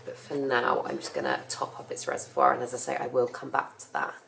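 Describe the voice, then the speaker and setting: A young woman with a husky voice from a cough, chatting casually about her plants as she works. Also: rumbling low, little pitch movement, brisk, fairly bright tone, faint music behind, rough recording. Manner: chatty, rambling, self-correcting. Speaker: plant care vlogger. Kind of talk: casual chat while working.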